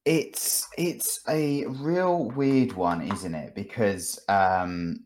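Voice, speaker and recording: A man's voice: on the low side, extremely slow, darkish tone, a little hiss or hum. A British man hosting a casual gaming podcast, rambling and thinking aloud with a wry manner.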